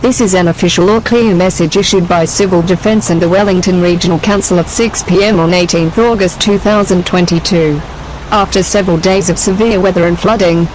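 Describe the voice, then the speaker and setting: A woman with a slightly low voice reads a formal civil defence announcement. A faint outdoor ambience sits behind her scripted delivery. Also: darkish tone, a little hiss or hum, poor audio. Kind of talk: scripted official public safety announcement.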